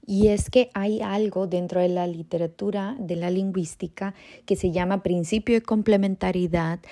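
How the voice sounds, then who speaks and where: A woman's voice: lowish voice, mellow, no room sound, studio quality. A woman from Costa Rica with a Costa Rican Spanish accent, hosting an educational podcast in a reassuring tone, often checking in with a rising "¿sí?". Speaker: podcast host and bilingualism educator. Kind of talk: informal educational podcast talk.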